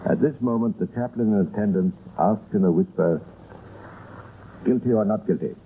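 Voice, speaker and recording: A man giving scripted narration for an old-time radio crime story in a measured storytelling manner. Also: fairly low pitch, narrow, tinny audio. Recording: thin, noisy old radio recording.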